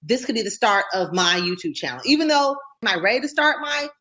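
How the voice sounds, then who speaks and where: An excitable, bubbly woman hosts a casual talk show, often breaking into laughs as she chats. Her voice has a mid-range pitch. The sound is dull and somewhat lo-fi. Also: fairly quick. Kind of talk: casual interview chat.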